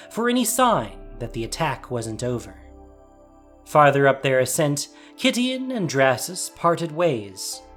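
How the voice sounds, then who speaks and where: A narrator reading a scripted dramatic fantasy story, with music behind. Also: medium pitch.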